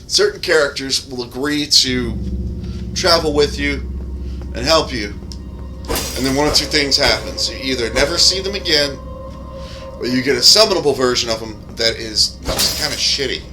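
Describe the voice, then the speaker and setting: Man, mid-pitched voice, casual gameplay commentary, rambling explanations, casual swearing, prominent music behind him. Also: moderate pace, rough recording. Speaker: game streamer and modder.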